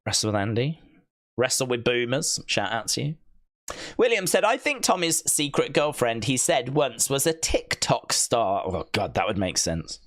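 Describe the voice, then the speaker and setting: A man hosting a casual livestream, chatting to viewers in a rambling, joking way with deadpan asides. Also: mid-range voice, moderate pace. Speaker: livestream host. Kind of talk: casual watch-along chat, reading viewer comments.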